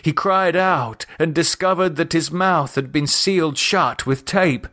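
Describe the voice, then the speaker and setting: Slow, deliberate male horror narrator. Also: fairly high pitch, pushed and bright. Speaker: author and narrator reading own novel. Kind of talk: dramatic audiobook narration of horror fiction.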